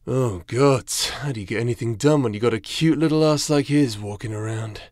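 A man's voice: medium pitch, fairly quick, darkish tone, no echo, clean studio sound, lightly acted. A man playing a cocky, crude, flirtatious knight in an anime-style audio roleplay, with a brash, teasing manner. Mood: playful, lewd. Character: cocky, vulgar, flirtatious bastard knight paladin, younger brother.

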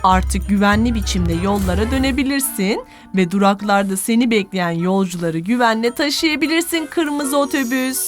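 A woman narrating an educational children's cartoon, addressing young viewers in a didactic, encouraging, clearly articulated way. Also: on the high side, moderate pace, few pauses. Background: music.

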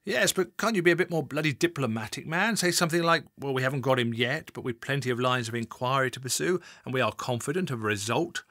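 A man voicing a blustering middle-aged male senior police chief who scolds gruffly and with exasperation. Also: medium pitch, lively intonation, fast talker, hardly any pauses, sharp tone, no room sound, clean studio sound. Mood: exasperated.

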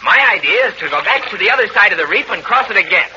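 A male actor playing a confident, quick-witted American teenage hero in a 1940s radio serial, speaking earnestly. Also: high voice, expressive, bright, forceful tone, full character voice. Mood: urgent, confident. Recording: muffled noisy 1940s radio broadcast.

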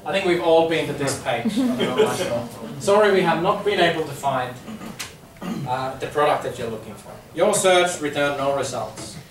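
A man in his thirties with a Finnish accent, giving a casual business talk to a room, repeating words and saying um a lot. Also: fairly high pitch. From Finland. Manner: chatty, repeats words, many ums. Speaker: presenter. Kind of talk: business presentation. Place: conference room with audience. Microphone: far camera, no mic. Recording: echoey room from far off, faint hum.

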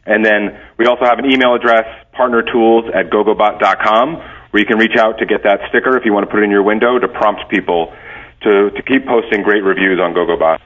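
Low-voiced man, slightly dull sound. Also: fairly even intonation, a little fast. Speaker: guest, company representative. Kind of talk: conversational explaining.

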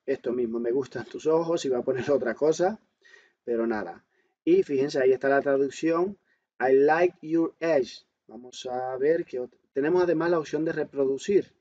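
A man's voice: mid-range voice, normal speed, mellow. A man instructor giving a step-by-step smartphone tutorial in an explanatory way, often asking questions and answering them himself, as a voice-over with dull, lo-fi sound. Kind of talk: step-by-step smartphone tutorial, talking while demonstrating. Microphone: voice-over on a screen recording.

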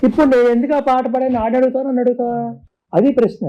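Fast-talking man arguing back. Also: very high voice, flat delivery, mellow, highs cut off. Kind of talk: casual argument. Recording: noisy amateur recording.

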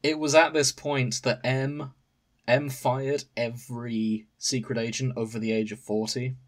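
A man's voice: mid-range voice, level tone, measured pace. A man podcast host casually recounting a story to friends in an amused, wry manner. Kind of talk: casual chat, recounting fan fiction.

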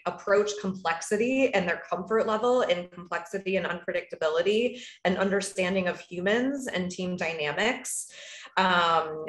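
A woman's voice: fairly low pitch, fairly bright tone, echoey room. A woman speaking on a video call as a panelist, talking in a casual, thoughtful way with many "like"s and "right?"s. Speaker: panelist, agile coach.

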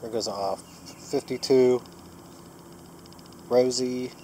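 A man's voice: medium pitch, steady tone, very slow, mellow, a little hiss or hum. A man with a cold narrating his metal detecting finds outdoors, speaking casually to viewers while he digs. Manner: plain, upbeat, talks to viewers while working. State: stuffed up from a cold. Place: outdoors in woods and a yard.

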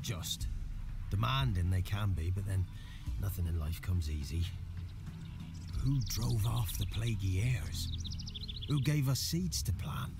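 An actor playing a weary, deferential peasant village elder in a video game, solemn and rustic. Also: male voice, low-pitched, animated, a little slow, creaky, long pauses, exaggerated voice. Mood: earnest. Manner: rustic, solemn, archaic dialect. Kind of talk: dramatic video game acting. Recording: music under the dialogue.

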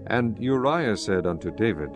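A man narrating scripture from a script in a measured, solemn manner. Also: on the low side, expressive. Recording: music behind the voice.

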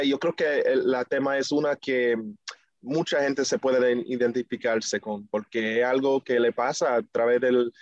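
Young man, relaxed and muffled video call. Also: medium pitch, dry recording. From United States, of Dominican family. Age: young adult. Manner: relaxed, laughs easily, many fillers and restarts. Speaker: guest musician. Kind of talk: casual interview answers. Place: small indoor room, video call.

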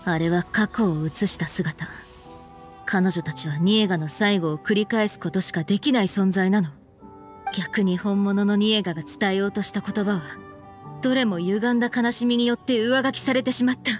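An actress playing a stern, frank young woman in an anime game, speaking in a firm, reproachful, deliberate way. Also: medium pitch, steady tone, soft background music, close to an everyday voice. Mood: grave explaining. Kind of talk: anime game voice acting, dramatic dialogue.

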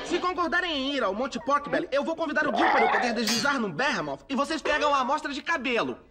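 Scheming young boy hero, Brazilian accent, high voice, animated cartoon delivery, loud music. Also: fast talker. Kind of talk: animated cartoon dub acting.

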